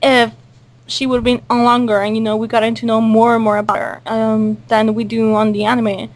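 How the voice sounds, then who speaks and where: Casting: woman with a fairly high voice, hesitant, rambling, casual interviewee. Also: level tone, a little fast. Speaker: cast member in a group interview. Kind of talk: casual chat.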